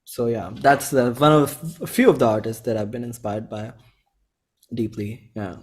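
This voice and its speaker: A man's voice: medium pitch, fairly even intonation, moderate pace, darkish tone. Enthusiastic young Indian man chatting casually. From Bhopal, India. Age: 20s. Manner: enthusiastic, effusive, slangy. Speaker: indie musician, interview guest. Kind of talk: casual chat.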